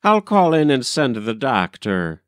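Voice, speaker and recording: A man's voice: medium pitch, very animated, measured pace, dry recording, studio quality. A man voicing a proper, practical, flustered mother in a storybook, genteel and given to exclaiming. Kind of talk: storybook character voice.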